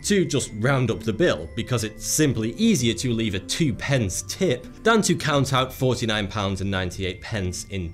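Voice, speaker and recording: A man giving scripted narration for an explainer video, with a sarcastic, wry delivery. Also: mid-range voice.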